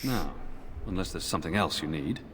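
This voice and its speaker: An actor playing a dutiful, weary knight commander in a fantasy video game, speaking formally and gravely. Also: male voice, sits low, expressive, brisk, background noise. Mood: somber, earnest. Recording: game dialogue in long reverb under music.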